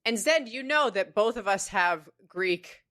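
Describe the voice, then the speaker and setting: A woman's voice: mid-range voice, animated, unhurried, fairly bright tone. A woman co-hosting a podcast in casual chat, giggly and effusive. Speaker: podcast co-host.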